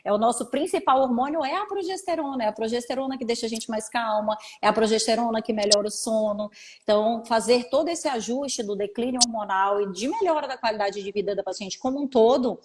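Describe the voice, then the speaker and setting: Higher-pitched Brazilian woman gynecologist explaining. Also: brisk. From São Paulo state, Brazil. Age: 40s. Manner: explanatory, frequent "né?" tag questions. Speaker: gynecologist guest. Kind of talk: informative live interview talk.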